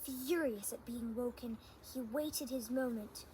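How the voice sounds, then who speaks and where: A female storyteller with a very high voice reads to children. Her pace is slow and measured, and a faint noise sits behind her. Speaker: storybook reader, channel host. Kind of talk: children's story read-aloud.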